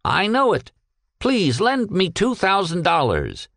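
Man voicing earnest, dogged errand man, lively pitch. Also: normal speed, dry recording, clean studio sound. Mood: earnest pleading. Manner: pleading, polite, insistent. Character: dogged one-armed errand man, weary, earnest, respectful. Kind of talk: audiobook character dialogue.

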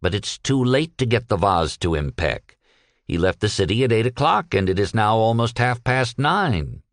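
A man's voice: fairly low pitch, big pitch swings, moderate pace, dry recording, studio quality. A man voicing a blunt, paternal sea captain in an audiobook, exasperated and dismissive. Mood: indignant sympathy. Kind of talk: audiobook character dialogue.

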